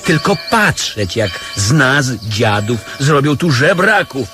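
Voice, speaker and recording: An actor playing a wily, pompous church beggar in a radio comedy, declamatory, wheedling and mock-pious. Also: male voice, mid-range voice, very animated, slow, over music. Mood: pompous, conciliatory. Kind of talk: radio comedy play acting.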